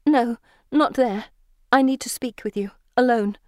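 A woman voicing an anxious, well-bred young lady in an audiobook, speaking in an urgent, breathy way. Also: on the high side, measured pace, darkish tone, no echo, clean studio sound, voice pushed up, close to an everyday voice. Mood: breathless, urgent.

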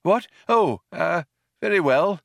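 A man's voice: a little high, animated, takes it slowly, a bit bright, no room sound, clean studio sound, voice pushed up. A man voicing a polite, easily wounded old craftsman in an audiobook, hesitant, proud and mournful. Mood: flustered, polite. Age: older. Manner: hesitant, courteous, indignant. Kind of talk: audiobook character voice.

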